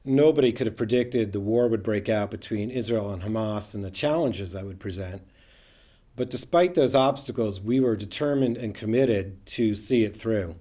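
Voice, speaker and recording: A man, a program organizer, narrating a documentary in an earnest, steady storytelling manner. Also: lowish voice, moderate pace.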